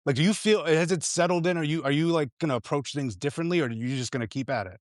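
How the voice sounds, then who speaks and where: A man appearing as a journalist guest, speaking in an animated, emphatic way in casual conversation. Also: fairly high pitch, fast talker, no room sound, clean studio sound.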